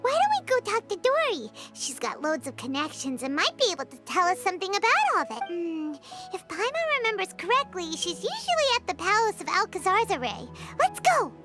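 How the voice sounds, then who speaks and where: An actress voicing a small, excitable female fairy companion in a video game, with chirpy exclamations. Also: very high-pitched, brisk, hardly any pauses. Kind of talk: game voice acting. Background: background music.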